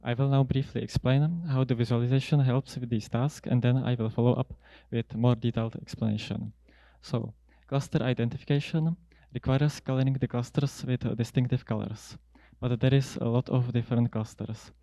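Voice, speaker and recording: A young man giving a prepared academic talk as a student researcher, explaining in a measured, somewhat halting way. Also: mid-range voice, steady tone, vocal fry, dark tone, no echo. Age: young adult. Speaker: student researcher presenting a paper. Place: indoor seminar room at a lectern. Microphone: handheld microphone close to mouth.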